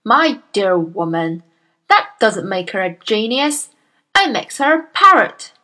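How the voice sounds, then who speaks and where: A woman playing a booming, bullying headmistress. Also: very animated, slow pace, exaggerated voice. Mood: bellowing scorn. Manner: barking, scornful, shouting. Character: loud bullying giant female headmistress.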